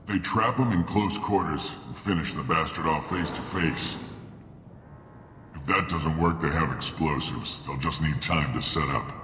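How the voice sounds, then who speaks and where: A man's voice: a bass voice, unhurried, pushed and bright, a little hiss or hum, full character voice. An actor playing a curt, cynical male mercenary who plans attacks and fixes a gunship in a video game cutscene. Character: gruff male mercenary planner and mechanic. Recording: reverberant game mix.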